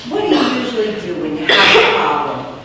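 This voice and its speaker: A woman's voice: fairly low pitch, sing-song, a little fast, over a noisy crowd. A woman playing a solitary, reflective and curious character in a live stage play. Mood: gently probing. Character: solitary reflective woman, gentle and curious, meditating alone outdoors. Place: theatre stage, live performance. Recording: echoey hall from far off, faint noise.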